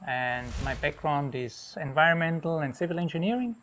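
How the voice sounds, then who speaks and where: A man's voice: fairly high pitch, normal speed, a little hiss or hum. Austrian man on a jungle walk. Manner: relaxed, rambling, with many restarts. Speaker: hotel owner. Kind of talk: casual interview on a property tour. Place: outdoors on a jungle property.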